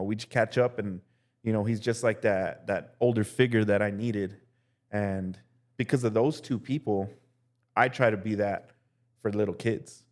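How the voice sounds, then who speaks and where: A young male teacher telling casual stories as a podcast guest, relaxed and full of "like" and "dude". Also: on the low side. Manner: relaxed, heavy use of "like" and "dude". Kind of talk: casual storytelling chat.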